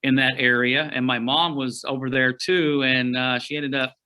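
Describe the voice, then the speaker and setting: A middle-aged man from Oklahoma, a guest outdoor writer, telling stories in a rambling, folksy way with frequent pauses and restarts over a remote call. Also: medium pitch, flat delivery, sharp tone, clear, resonant tone. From Oklahoma, USA. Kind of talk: casual storytelling interview.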